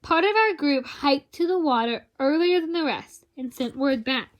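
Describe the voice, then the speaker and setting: A woman reading a children's picture book aloud in an expressive storytelling style, drawing out words for emphasis. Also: high voice, moderate pace, low-quality recording.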